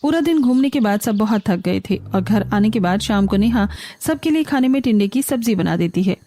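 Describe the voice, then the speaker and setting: A woman's voice: medium pitch, lively intonation, normal speed, mellow, slight background noise. A woman reading scripted narration for a cartoon family story.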